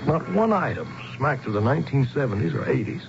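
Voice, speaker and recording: A man's voice: mid-range voice, very animated, brisk, gravelly. An actor playing a bewildered, skeptical American man stranded as an outsider in a 1970s radio drama. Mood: uneasy, reflective. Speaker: actor and narrator. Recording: muffled, noisy old radio broadcast.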